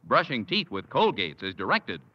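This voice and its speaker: A man's voice: medium pitch, huge pitch swings, normal speed, pushed and bright, no room sound. A man announcing a 1950s radio commercial in a persuasive, emphatic way. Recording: dry, dull old radio sound.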